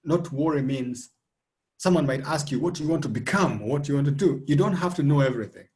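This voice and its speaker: A man speaking as a guest on an online panel, relaxed and loose with "like" fillers. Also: a little high, quick pace. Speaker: guest from a council digital team. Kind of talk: casual panel discussion. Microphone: video call.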